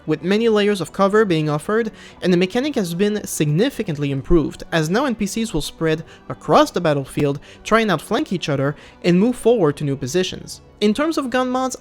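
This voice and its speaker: Gaming reviewer for scripted voice-over: casual, enthusiastic, highly varied intonation, medium pitch. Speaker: gaming video creator and reviewer.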